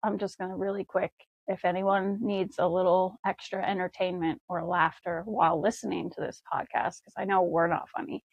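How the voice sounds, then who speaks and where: A woman's voice: fairly low pitch, little pitch movement, moderate pace, vocal fry, few pauses, dry recording, highs cut off. A woman co-hosting a casual podcast from home, chatty and rambling, laughing easily. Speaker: podcast co-host. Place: home with a wood stove.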